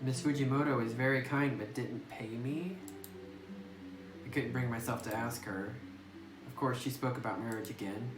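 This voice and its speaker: A man reading the lines of a lonely, reclusive butterfly collector, quiet and subdued. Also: mid-range voice, fairly even intonation, moderate pace, natural voice. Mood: wistful. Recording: roomy distant phone recording with music.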